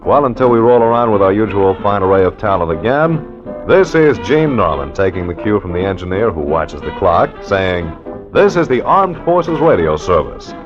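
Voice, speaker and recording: Male radio announcer, 1940s style, showy and polished, lively intonation, dull old broadcast sound. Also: fairly low pitch, normal speed, music in the background. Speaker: host of a jazz radio show.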